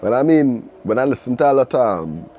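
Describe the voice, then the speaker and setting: A man's voice: medium pitch, animated, brisk, dark tone, highs cut off, a little hiss or hum. A man with a Trinidadian accent hosting a casual interview, agreeing often as he listens and asks questions. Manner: agreeable, frequent affirmations. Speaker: podcast interviewer.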